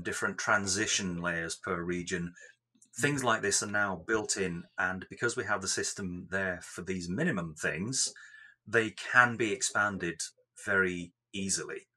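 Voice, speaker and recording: Male tech interviewee, deep register with a forward, bright edge, explaining carefully and in measured phrases. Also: breathy edge. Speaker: software developer being interviewed.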